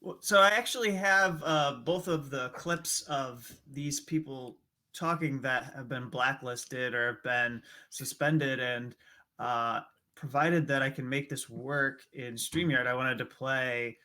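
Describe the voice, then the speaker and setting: A man co-hosting a live interview show in a halting, hesitant manner. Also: mid-range voice, sharp tone. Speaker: show co-host.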